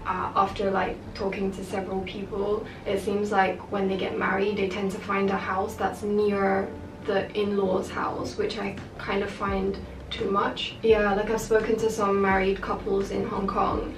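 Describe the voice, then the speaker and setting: A woman's voice: mid-range voice, completely flat delivery, unhurried. Chatty young woman, roomy echo. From half Japanese, raised in the UK. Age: young adult. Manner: chatty, many "like" fillers, candid. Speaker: vlogger. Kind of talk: casual chat to camera. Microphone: far camera mic. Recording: echoey room, distant mic, noisy.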